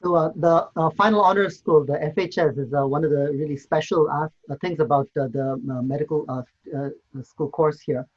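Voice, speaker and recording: A man's voice: fairly high pitch, fairly even intonation, a little fast, breathy edge, lo-fi sound. A middle-aged man, a medical tutor, giving a hesitant open day talk full of fillers. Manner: hesitant, many fillers and restarts. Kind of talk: virtual open day presentation.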